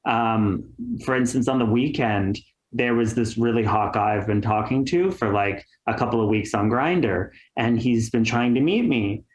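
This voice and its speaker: A man's voice: medium pitch, moderate pace, roomy sound, rough recording. A man talking candidly as a guest on a podcast, chatty and full of fillers. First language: English. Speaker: guest YouTuber. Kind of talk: casual podcast chat.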